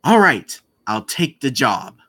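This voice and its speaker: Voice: mid-range voice, big pitch swings, takes it slowly, pushed and bright, slightly rough, full character voice. A gaming host voicing the anxious, hesitant hero of a visual novel, thinking to himself. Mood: hesitant, hopeful.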